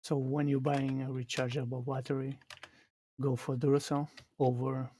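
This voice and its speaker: A man from Portugal with a Portuguese accent, explaining his game live in a halting way that restarts phrases. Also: medium pitch, level tone, mellow. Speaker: streamer. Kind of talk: live gameplay commentary.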